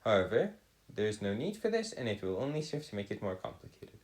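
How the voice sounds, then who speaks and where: A man tutoring a math and physics lesson in formal, old-fashioned wording, often halting and correcting himself. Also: lowish voice, sing-song, darkish tone.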